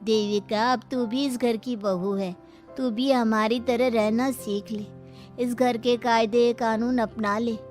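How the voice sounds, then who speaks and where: A woman's voice: a little high, steady tone, takes it slowly, a little mellow, faint music behind. A woman voicing a submissive older aunt-in-law who pleads and advises in a moral story. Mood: resigned. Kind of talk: Hindi moral story character voice.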